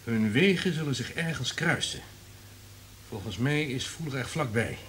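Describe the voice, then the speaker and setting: An actor playing a wary, calculating subordinate in a radio play, deferential but insistent. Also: male voice, mid-range voice, lively intonation, normal speed, fairly bright tone, slight background noise, close to an everyday voice.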